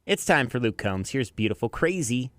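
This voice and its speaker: American radio DJ, dry close sound. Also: male voice, mid-range voice, very animated, normal speed, a bit bright, dry recording, soft background music. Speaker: afternoon drive radio DJ. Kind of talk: radio DJ links and countdown, conversational.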